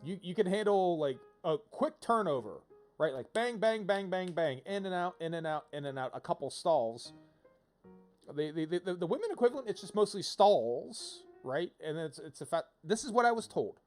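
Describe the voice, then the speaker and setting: A man giving casual, rambling game-stream commentary in an animated, digressive way, repeating himself for emphasis. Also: high voice, moderate pace. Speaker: livestreamer playing a visual novel. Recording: game music behind the voice. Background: video game music.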